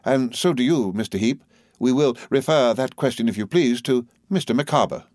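A man voicing a good-natured, businesslike male agent in an audiobook, polite and quietly firm. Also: medium pitch, normal speed, dry recording, clean studio sound, pitched above the performer's own voice, lightly acted.

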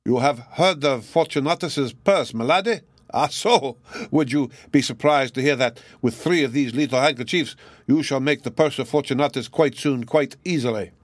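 Man putting on a venerable old German gentleman, courteously particular. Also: mid-range voice, normal speed, dry recording, close to an everyday voice. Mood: instructive, insistent.

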